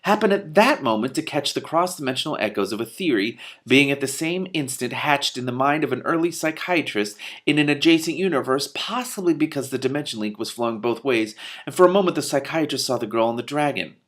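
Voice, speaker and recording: A man's voice: mid-range voice, expressive, brisk, a bit bright. A man reading a fantasy novel aloud, breaking in with amused asides. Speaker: reader and commentator.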